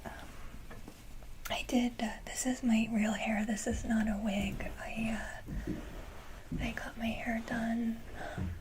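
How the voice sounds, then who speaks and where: A woman whispering breathily in a casual ASMR style, speaking to viewers in a gentle, halting manner. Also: on the high side, level tone. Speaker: ASMR video creator. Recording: clearly noisy, hissy background.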